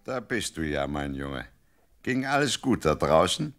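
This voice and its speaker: Father of a shepherd boy: low, expressive, kindly and approving, radio drama. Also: measured pace, music in the background, exaggerated voice. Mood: proud, caring. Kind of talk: radio play acting.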